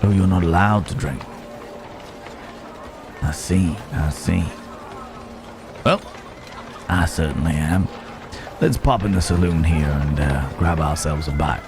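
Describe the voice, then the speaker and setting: A man's voice: very deep voice, over music, heavily acted. A man playing a roguish, genteel Wild West stranger in an ASMR roleplay, wry and musing, speaking straight to the listener. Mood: easygoing, amiable. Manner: unhurried, wry, musing, with drawn-out pauses.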